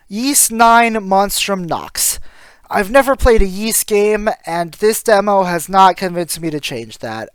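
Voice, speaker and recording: High-pitched man narrating game reviews. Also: clear, resonant tone, no room sound. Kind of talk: scripted voice-over commentary.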